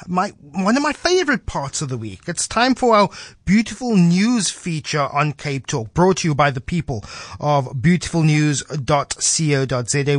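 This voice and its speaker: A man's voice: high voice, dry recording. A man hosting a radio show, reading short scripted feature introductions with the odd slip and filler. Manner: reads scripted intros with small slips and fillers.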